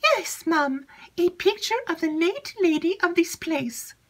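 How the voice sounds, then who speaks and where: Shrill chattering young maid. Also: female voice, lively intonation. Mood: excited. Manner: breathless, gossipy, rapid chatter.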